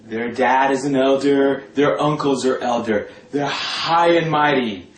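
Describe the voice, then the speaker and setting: A man telling a personal story informally in a sardonic, gossipy, coarse-mouthed and animated way. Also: mid-range voice, a little slow. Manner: animated, breaking often into laughter. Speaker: storyteller talking about a past religious community. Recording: roomy echo, distant mic, faint noise.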